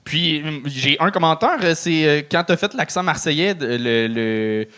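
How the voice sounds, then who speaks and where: A man with a Quebec French accent giving halting, casual feedback to a comedian as a show judge. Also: on the high side, a bit bright. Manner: halting, many fillers and restarts. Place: comedy club.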